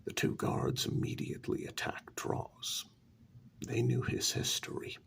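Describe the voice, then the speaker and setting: A man telling a story in a soft-spoken ASMR style, breathy and deliberate, with many dramatic pauses. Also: slight background noise. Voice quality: breathy half-whisper with heavy creak. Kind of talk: soft-spoken ASMR story narration, first-person role-play.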